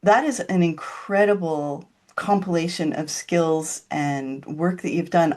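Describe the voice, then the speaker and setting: A woman's voice: fairly low pitch, a little mellow. A woman hosting a podcast interview, friendly and chatty, asking long questions. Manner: friendly, lightly laughing, asks long questions. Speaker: podcast host.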